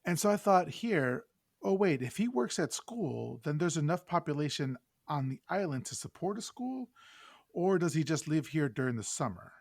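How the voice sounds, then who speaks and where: A man's voice: a little high, very animated, normal speed, darkish tone, no room sound, clean studio sound. A man hosting a podcast, casually recapping and discussing a film with informal, salty asides. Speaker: podcast host.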